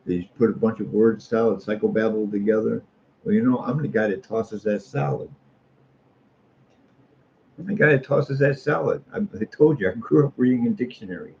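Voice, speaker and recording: A man's voice: on the low side, moderate pace, gravelly. A middle-aged American man in a casual, rambling monologue, speaking in a folksy, opinionated way and repeating phrases for emphasis. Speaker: street artist. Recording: muffled lo-fi audio with faint noise.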